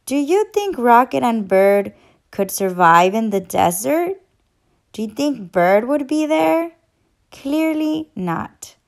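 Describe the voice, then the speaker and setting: A woman speaks to young children as a teacher and story reader. Her voice sits on the high side and her delivery is very slow. She explains and questions in an encouraging, instructional way. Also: very animated, vocal fry. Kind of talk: children's read-aloud and quiz.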